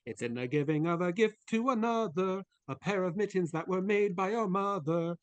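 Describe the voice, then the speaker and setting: A man's voice: high voice, smooth, dry recording. A man playing a jolly, kindly Christmas spirit in a live dramatic reading, hearty and festive. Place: remote video call at home. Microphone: video call microphone.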